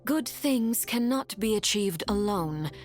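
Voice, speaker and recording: Cool, commanding game villainess. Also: female voice, mid-range voice, lively intonation, faint music behind. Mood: composed, courteous. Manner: measured, formal, cool, softly intimidating. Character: commanding, enigmatic female harbinger, called Father by her wards. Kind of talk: dramatic video game voice acting.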